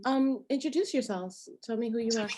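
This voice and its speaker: Slow, mellow-voiced woman hosting a video call. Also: a little high. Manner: relaxed, with many "um"s. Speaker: host, board member and nanny agency owner.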